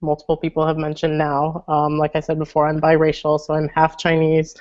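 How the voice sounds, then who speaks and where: A speaker from Southern California talks in a measured way, pausing on fillers. The voice is smooth and clear but almost entirely flat in pitch. The audio is dull and lo-fi, like an online call. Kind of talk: casual video-call discussion.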